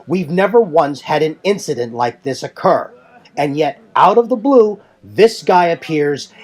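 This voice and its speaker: A man voicing a hot-headed male warrior who declaims in a forceful, accusatory way. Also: a little high, voice pushed up.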